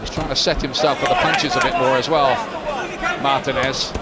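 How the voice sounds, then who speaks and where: A man giving live boxing commentary at ringside, mostly measured play-by-play that rises to excited shouting at the knockdowns. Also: medium pitch. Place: arena ringside. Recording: broadcast with loud crowd noise. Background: loud arena crowd.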